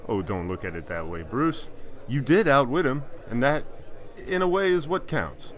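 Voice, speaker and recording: A man playing a middle-aged school principal and father in an audio drama, steady, wry and dryly firm. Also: mid-range voice, normal speed, natural voice. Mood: reassuring, firm.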